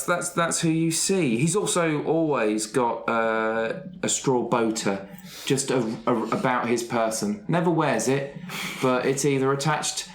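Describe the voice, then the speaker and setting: Man in an echoey room, halting. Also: mid-range voice. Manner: halting, hesitant, wry. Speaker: player in a roleplay podcast. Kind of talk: improvised character description in conversation. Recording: roomy, echoey sound with faint noise.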